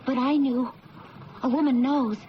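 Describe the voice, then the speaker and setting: A woman's voice: high voice, fairly even intonation, a little slow. An actress playing an earnest young woman pleading emotionally in an old radio drama. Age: young adult. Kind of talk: 1970s radio drama acting. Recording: muffled, noisy old radio broadcast.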